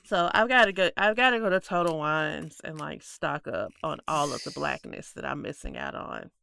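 A woman co-hosting a podcast in casual chat, with a stuffy nose and a relaxed, chatty manner. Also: low voice, moderate pace, vocal fry, a little hiss or hum. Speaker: podcast co-host.

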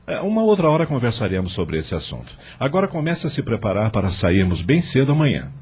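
An actor playing a wealthy middle-aged farmer and father in a radio drama, rough-voiced, firm and paternal. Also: mid-range voice, big pitch swings, fast, a little mellow.

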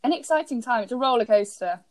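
A woman's voice: on the high side, quick pace, no echo. Chatty young woman co-host. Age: early 20s. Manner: chatty storyteller, lively quoted voices. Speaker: radio co-host. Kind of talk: casual chat.

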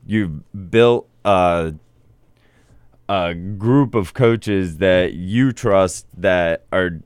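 A male radio host interviewing a coach, speaking haltingly with many restarts. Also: deep voice, clear, resonant tone, no echo, clean studio sound. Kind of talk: casual interview.

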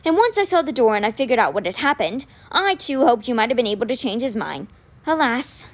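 A woman voicing a kindly, wise old man in an audiobook, patient and measured, with a gentle gravity. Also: fairly high pitch, very animated. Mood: kind, regretful. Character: kindly, wise old male magician mentor, gently grave.

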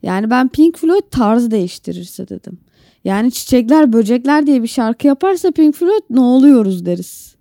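A woman's voice: a little high, big pitch swings, moderate pace, dark tone, dry recording, clean studio sound. A woman co-hosting a podcast, casually talking through her opinions in conversation. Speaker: podcast co-host. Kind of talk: casual chat, opinionated discussion.

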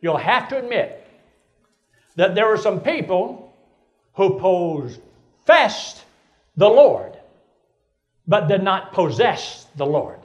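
A man's voice: high voice, sing-song, takes it slowly, pauses often, lo-fi sound. A man in his seventies preaching a sermon, asking rhetorical questions and building to emphatic points. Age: 70s. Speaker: pastor.

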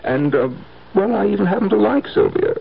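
An actor playing a cynical, lazy fortune-hunting husband in a 1970s radio drama, sardonic and hesitant, with dry asides. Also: male voice, sits high, animated, a little fast, creaky, husky, full character voice. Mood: smug, taunting. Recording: tinny, hissy 1970s radio broadcast.

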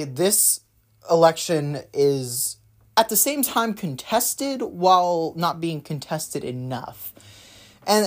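A man hosting a solo talk show, rambling through his views with many fillers and restarts, his voice slightly raspy from a runny nose. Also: a little high. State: runny nose, maybe a cold. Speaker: podcast host. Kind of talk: casual solo political commentary.

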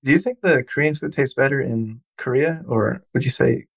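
A man's voice: mid-range voice, moderate pace, gravelly, dry recording. A man hosting a podcast, chatting loosely with a guest and laughing often. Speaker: podcast host. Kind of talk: casual interview chat.

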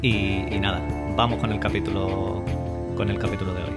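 A man's voice: low-pitched, little pitch movement, moderate pace, loud music behind the voice. A man hosting a podcast, talking in a casual, chatty way, with many fillers and restarts. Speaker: podcast host.

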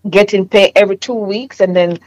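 A woman's voice: mid-range voice, steady tone, a little fast, darkish tone, no echo, poor audio. A woman with a Jamaican accent, a therapist sharing her faith story in an earnest, reflective conversation. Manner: earnest, reflective, frequent fillers. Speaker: therapist and business owner.

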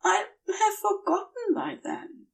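Woman doing a gentle dazed angel guy, high soft pleading voice, slow and halting, bit far from mic. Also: vocal fry, heavily acted. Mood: fretful, insistent.

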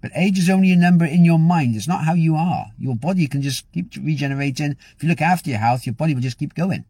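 A man's voice: a little high, fast talker, warm tone, husky, no echo, studio quality. A man giving an upbeat, rambling motivational talk as host of his own show. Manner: upbeat, rambling with frequent restarts. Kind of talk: casual motivational talk to camera.

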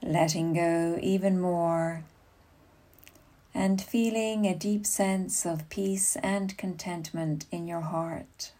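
A woman leading a guided meditation as a yoga nidra guide, giving soothing, unhurried instructions with long pauses. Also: on the low side, fairly even intonation, warm tone.

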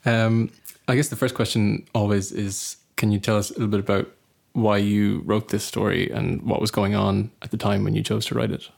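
A man's voice: on the low side, flat delivery, normal speed, vocal fry, darkish tone. A man working as an interviewer, speaking casually in a polite, hesitant way.

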